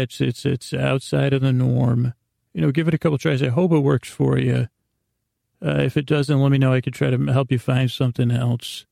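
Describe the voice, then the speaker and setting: Gravelly, mellow man rambling at bedtime. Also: medium pitch, dry recording, studio quality. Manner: halting and meandering, with stammered restarts and trailing tangents; self-deprecating, gently reassuring. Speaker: podcast host. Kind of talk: rambling, improvised bedtime podcast monologue.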